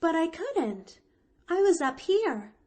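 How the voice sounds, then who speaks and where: Woman voicing an eager, high-pitched cheerful little girl. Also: sing-song, slow pace, dark tone, exaggerated voice. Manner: eager, earnest, gushing.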